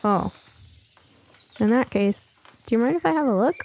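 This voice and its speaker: A woman's voice: fairly high pitch, animated, moderate pace, creaky, darkish tone, slight background noise, voice pushed up. A woman voicing a curious, science-minded young girl in an audiobook, chatty, earnest and eager.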